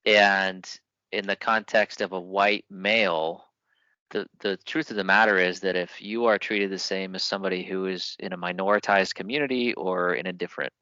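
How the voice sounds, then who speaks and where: Male podcast host, very low pitch, bright tone, thoughtful conversational delivery. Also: steady tone, normal speed, no echo, dull audio. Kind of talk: podcast interview.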